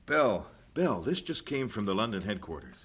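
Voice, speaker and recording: Lively, practical missionary husband. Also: male voice, on the low side, fairly quick, husky, full character voice. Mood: grave. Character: steady, practical missionary husband, gently teasing. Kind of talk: radio drama acting.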